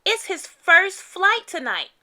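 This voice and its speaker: A woman's voice: very high-pitched, very animated, slow, sharp tone, pitched above the performer's own voice, exaggerated voice. A woman voicing a little old male elf helper, anxious and hesitant, in a children's storybook. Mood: fretful.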